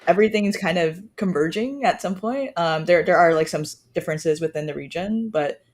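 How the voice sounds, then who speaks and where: A woman's voice: on the low side, fairly quick, darkish tone. A woman, a startup co-founder, explaining casually on a podcast with many fillers and trailing phrases.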